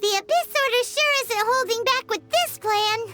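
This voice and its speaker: A woman voicing a tiny, excitable fairy companion girl in a video game, chattering eagerly and talking about herself in the third person. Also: very high-pitched, moderate pace, soft background music. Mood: chattering curious.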